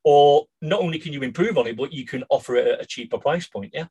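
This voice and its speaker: A man with a croaky voice, co-hosting a casual business podcast and often checking in with "yeah?". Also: fairly high pitch, fast, mellow. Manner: addresses listeners as guys, ends lines with "yeah?". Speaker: podcast co-host.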